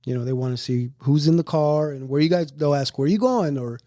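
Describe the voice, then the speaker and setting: A man's voice: fairly high pitch, a little fast, warm tone, no room sound. A middle-aged man hosting a family podcast, chatting casually and rambling with many restarts. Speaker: podcast host.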